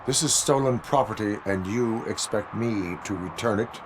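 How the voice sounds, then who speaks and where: An actor playing a stern cyborg police officer in a video game, speaking stiffly and formally. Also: male voice, lowish voice, normal speed, noisy background, lightly acted. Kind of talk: video game cutscene acting.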